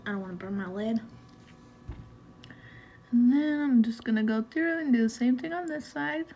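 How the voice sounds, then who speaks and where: A woman's voice: on the high side, normal speed, dull audio, a little hiss or hum. Chatty young woman explaining makeup steps. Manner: relaxed, chatty step-by-step explaining with fillers. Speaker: beauty vlogger. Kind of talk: casual makeup tutorial narration.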